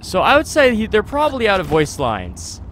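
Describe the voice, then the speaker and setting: Animated young man commentating a game. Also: high voice, normal speed, a little hiss or hum, rough recording. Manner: wry, exaggerated exasperation, joking. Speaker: gaming video host. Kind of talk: casual playthrough commentary. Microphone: close USB desktop microphone.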